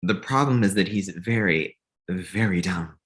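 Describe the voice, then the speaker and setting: Blunt, snobbish young man played by an actor, low-pitched, Ivy League type, comedy table read. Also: a little slow, a crowd in the background.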